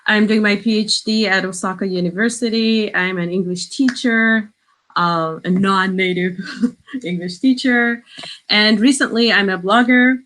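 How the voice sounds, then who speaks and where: A woman from Iran whose first language is Persian, speaking English as a guest on a video call in a slow, hesitant way with frequent laughter. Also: mid-range voice, steady tone, fairly bright tone. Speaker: guest, doctoral student and English teacher.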